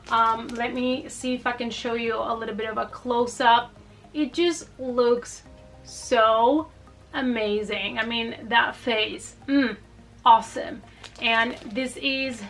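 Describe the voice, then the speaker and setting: A woman with a high, very bright voice chats informally in a casual haul vlog. She sounds enthusiastic and conversational, like a reviewer showing viewers her finds. The microphone picks her up from a little way off. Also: far from the mic. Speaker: horror movie review vlogger.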